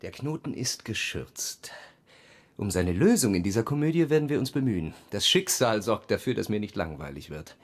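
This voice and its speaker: A cynical, bored officer in a literary radio drama. His voice is low and recorded very dry. He speaks deliberately, with cool irony, as if confiding in a diary. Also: very animated, dry recording. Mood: sly, scheming. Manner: measured, deliberate, coolly ironic. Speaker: narrator and lead actor.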